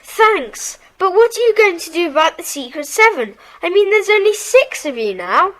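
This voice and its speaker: A woman's voice: very high voice, very animated, normal speed, a bit bright, exaggerated voice, poor audio. A woman playing an earnest, polite schoolboy in a children's audio drama. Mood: accepting. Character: earnest, regretful schoolboy forced to leave his club.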